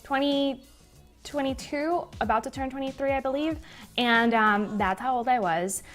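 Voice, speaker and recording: A woman's voice: high voice, moderate pace, faint music behind. A woman in her twenties from Puerto Rico with a Puerto Rican accent, a native Spanish speaker, answering viewer questions to camera in a chatty way from her living room. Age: 20s. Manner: chatty, gestures a lot. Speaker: business vlogger. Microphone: webcam at desk.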